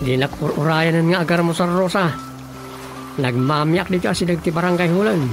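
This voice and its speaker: An actor playing a grumbling, reluctant village office worker in a comic radio drama, colloquial, with an Ilocano accent. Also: male voice, fairly high pitch, a little fast, music in the background, close to an everyday voice. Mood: matter-of-fact.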